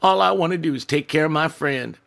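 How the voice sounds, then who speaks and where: A man voicing a brash, pushy woman who pleads loudly and then turns cold and scolding. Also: deep voice, fairly quick, creaky, voice pushed up. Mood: pleading. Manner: loud, pleading, switches to scolding.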